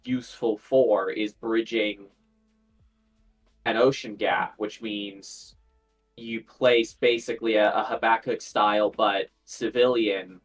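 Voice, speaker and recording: A man's voice: lowish voice, fairly even intonation, takes it slowly, faint music behind. A man taking part in a casual panel discussion.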